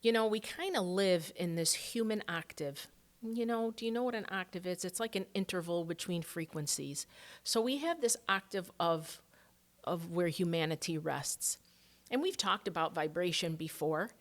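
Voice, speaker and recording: A middle-aged woman hosting a spiritual podcast, talking earnestly and heartfelt, dropping to a soft hush on reflective passages. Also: lowish voice, normal speed. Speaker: podcast host.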